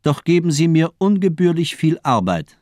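A man's voice: a little high, very animated, moderate pace, darkish tone, dry recording, studio quality. An actor playing a sinister, cultivated doctor in a radio crime drama, eloquent and mockingly polite as he toys with his captive. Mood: cold, polite.

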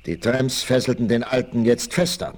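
A man's voice: mid-range voice, moderate pace, darkish tone. A man narrating a 1960s German audio drama, telling the story in a dramatic, suspenseful way.

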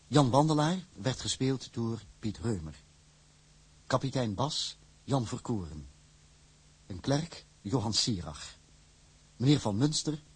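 Husky, slow, low-voiced male announcer. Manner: measured, formal. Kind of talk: formal scripted credits reading.

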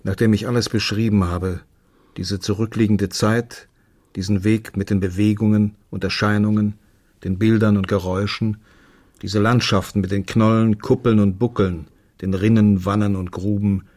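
A man's voice: lowish voice, little pitch movement, normal speed, darkish tone. A man giving a literary reading of short prose as part of a radio feature.

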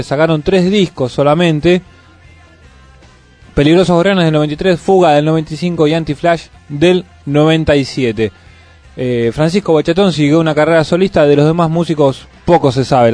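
Argentine man, higher-pitched, hosting over music. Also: a little fast, a little mellow. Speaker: radio host. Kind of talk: conversational music commentary.

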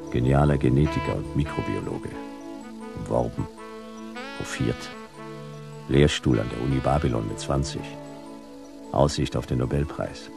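Very deep noir detective narrator. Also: male voice, heavily acted. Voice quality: very deep, gravelly. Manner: terse, clipped phrases. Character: hard-boiled male detective narrating his own case. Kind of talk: noir radio play narration. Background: music.

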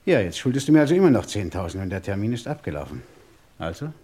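An actor playing a domineering, short-tempered crime boss in a radio play, mocking and commanding. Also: male voice, lowish voice, big pitch swings, fast, dark tone. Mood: threatening.

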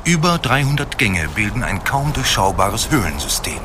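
An actor playing an earnest, eager tour guide in a radio drama, explaining things in a formal presenting manner. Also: male voice, mid-range voice, sing-song, normal speed, background noise, close to an everyday voice.

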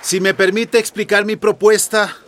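A man's voice: high-pitched, flat delivery, moderate pace, a bit bright, some chatter behind, slight background noise. A man playing a polite, reasonable town mayor in a radio drama, explaining himself formally. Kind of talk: Spanish-language radio drama acting.

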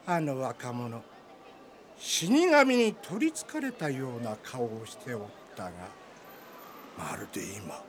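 A man's voice: medium pitch, very animated, slow pace, a little hiss or hum. A man playing an eccentric old fortune-teller in a radio drama, with folksy old-timer speech. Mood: ominous musing.

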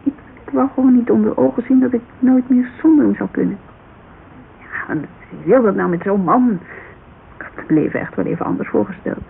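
A muffled radio play recording with steady hiss. a bereaved woman with a creaky voice. She confides in a halting, colloquial way, full of self-reproach. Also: fairly high pitch. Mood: rueful. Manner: hesitant, colloquial, confessional. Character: grieving, self-reproachful woman confiding about her dead lover. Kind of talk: naturalistic radio drama dialogue.

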